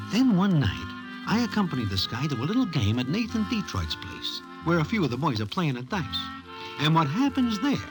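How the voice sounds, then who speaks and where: An actor playing a streetwise Broadway narrator, telling a tale in stilted slang for an old-time radio drama. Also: male voice, fairly high pitch, rough recording. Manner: stilted, present-tense storytelling slang.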